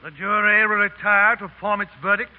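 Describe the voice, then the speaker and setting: Man acting stern court official, high ringing pitch, measured, tinny old radio, loud music behind. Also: flat delivery, natural voice. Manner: declamatory, measured. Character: stern formal male court official pronouncing sentence.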